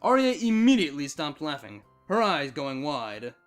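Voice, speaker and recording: A man narrating a scripted story with dramatic, expressive delivery. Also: fairly high pitch, normal speed. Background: background music.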